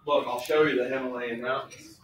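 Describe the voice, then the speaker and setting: A man's voice: mid-range voice, steady tone, fairly quick. A man teaching a school class in an informal, instructive way, explaining and asking questions. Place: school classroom, distant mic, noise behind. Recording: noisy echoey classroom, far from mic.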